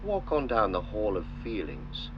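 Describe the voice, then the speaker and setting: A man narrating a script in an old-style documentary manner, with measured, solemn, deliberate phrasing. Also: lowish voice, wildly swooping pitch. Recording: dull lo-fi sound with clear noise.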